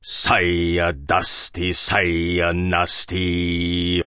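A man plays a sect leader in a dramatized audiobook. His voice sits very low and close, with a dry sound. He intones with solemn, sardonic authority. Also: very animated, a bit bright, dry recording. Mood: solemn chanting. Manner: slow, solemn intoned chanting. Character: male sect leader and sermonizer, solemn, sardonic, crude-mouthed.

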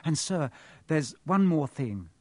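A man's voice: a little high, big pitch swings, slow pace, no echo, pitched above the performer's own voice, exaggerated voice. A man voicing an earnest, curious schoolboy wizard in a storybook, thoughtful and questioning in his manner.

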